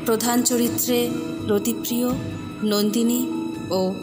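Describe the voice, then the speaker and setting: Slow female announcer under loud music. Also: on the high side, little pitch movement. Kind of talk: scripted dramatic title announcement.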